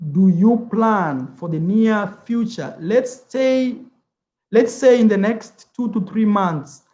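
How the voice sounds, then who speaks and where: A man's voice: sits high, a little mellow. Chatty young African man in an echoey room. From East Africa. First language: Swahili. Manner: rambling, repeats words, says "man" often. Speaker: travel vlogger. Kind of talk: casual livestream chat.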